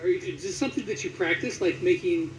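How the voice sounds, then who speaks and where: A man's voice: sits high, big pitch swings, quick pace, distant mic, slight background noise, low-quality recording. A man hosting a casual interview, with a hesitant, stumbling way of phrasing questions. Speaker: interviewer, host.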